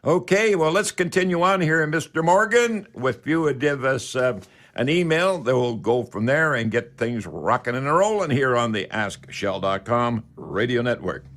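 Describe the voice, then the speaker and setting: A man's voice: medium pitch, lively intonation, normal speed, fairly bright tone. A man hosting a live call-in radio show in a folksy, repetitive patter.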